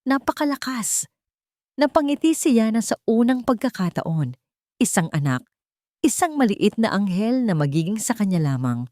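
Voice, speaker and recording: A woman's voice: medium pitch, sing-song, normal speed, warm tone, dry recording, studio quality. A woman storyteller giving scripted, dramatic narration of a romantic radio drama.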